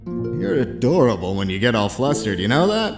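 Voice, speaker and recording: A man's voice: medium pitch, very animated, moderate pace, vocal fry. Man playing a cocky, swooping flirt of a space engineer. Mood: teasing. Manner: playful, boastful, teasing drawl. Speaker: voice actor. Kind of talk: visual novel fan dub. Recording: music behind the voice.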